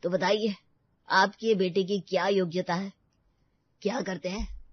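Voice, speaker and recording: A woman's voice: mid-range voice, fairly even intonation, a little slow, no echo, highs cut off, lightly acted. A woman voicing a stern, scornful parent in a story.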